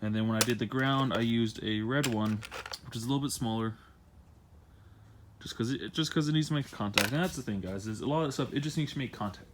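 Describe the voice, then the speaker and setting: A man's voice: medium pitch, brisk. An American man giving a casual, rambling hands-on how-to, repeating himself and apologizing as he goes on a handheld camera. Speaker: DIY vehicle vlogger. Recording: noisy handheld amateur video.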